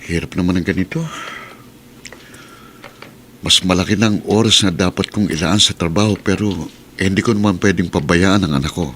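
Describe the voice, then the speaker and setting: A man's voice: deep voice, normal speed, long pauses, a bit bright. An actor playing a weary, nagging husband and devoted father in a Filipino radio drama, grumbling with a Filipino accent. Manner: grumbling, reproachful. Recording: noisy radio drama recording.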